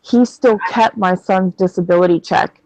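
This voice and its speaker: A woman's voice: medium pitch, normal speed, darkish tone, no echo, rough recording. A woman in her thirties in casual chat, venting bluntly with plenty of swearing. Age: 30s.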